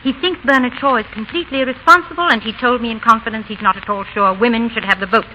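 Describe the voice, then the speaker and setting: A woman's voice: on the high side, fast talker, sharp tone, muffled recording. An actress playing a bossy, disapproving Englishwoman with a blunt, scolding manner in a 1930s radio drama. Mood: exasperated, scolding. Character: brisk, exasperated, bossy Englishwoman, disapproving companion. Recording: noisy 1930s radio broadcast.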